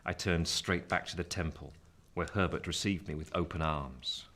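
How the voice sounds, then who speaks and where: A grave gentleman telling his story in a radio play. The voice is deep, and the first-person narration is measured and literary. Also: full character voice.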